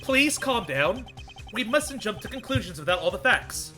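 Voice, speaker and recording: A proper, indignant prosecutor from a video game, acted out by a man in a fan dub. The voice sits fairly high and swings dramatically, with formal, emphatic phrasing. Light music plays behind. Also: very animated, moderate pace, pushed and bright, heavily acted. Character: proper, indignant male prosecutor accused of murder.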